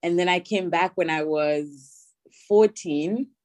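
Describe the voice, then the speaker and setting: A young woman with a Haitian accent, a podcast guest chatting casually and softly, laughing easily. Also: lowish voice, takes it slowly. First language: Haitian Creole. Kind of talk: casual interview chat.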